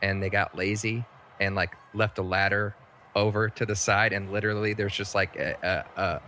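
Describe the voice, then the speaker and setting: Low-voiced man at a tabletop game. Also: level tone, moderate pace, bright, forceful tone, slight background noise. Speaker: player in a tabletop role-playing game. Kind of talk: casual game chat.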